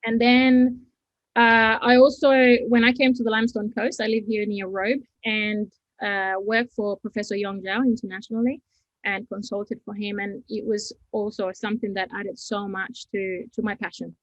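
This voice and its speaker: A woman's voice: medium pitch, level tone, moderate pace, no echo, muffled recording. Costa Rican woman, earnest, dry sound. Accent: Costa Rican Spanish. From Costa Rica. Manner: earnest, with many fillers. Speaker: educator and business founder. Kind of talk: guest telling her story in an interview.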